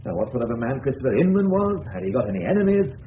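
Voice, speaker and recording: A man's voice: medium pitch, big pitch swings, racing, close to an everyday voice. An actor playing an exasperated, blustering middle-class English father in a 1970s radio drama. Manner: irritable, blustering. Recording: tinny, hissy old radio broadcast.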